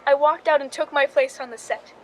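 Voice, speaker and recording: A woman's voice: high-pitched, fairly even intonation, a little fast, slight background noise, natural voice, poor audio. An actress playing a film stand-in who narrates her own crime story in a suspense radio drama, speaking in a dramatic first person. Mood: tense, recounting.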